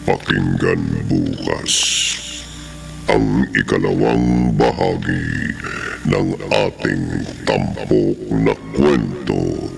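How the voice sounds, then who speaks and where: A man announcing a horror radio drama in a slow, ominous, theatrical style with drawn-out delivery, over music. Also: a bass voice, creaky.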